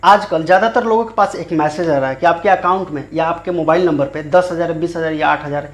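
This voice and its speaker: A man, explaining in an informal, repetitive tutorial style as a video host. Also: on the high side. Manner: informal, repetitive, rephrases often. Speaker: YouTube channel host. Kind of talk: explanatory scam-awareness tutorial.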